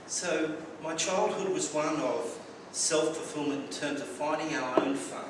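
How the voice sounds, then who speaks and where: An Australian man with a fairly high voice tells stories from his childhood with dry humour, sometimes tripping over his words. He stands well back from the microphone, so his voice rings around a very echoey room. Clear background noise runs under everything he says. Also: unhurried, far from the mic. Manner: anecdotal, wry humour, occasional stumbling repeats. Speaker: club speaker. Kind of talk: prepared personal speech.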